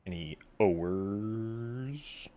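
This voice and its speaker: A man livestreaming a game, dropping terse, deadpan, casual comments. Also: fairly low pitch, very slow.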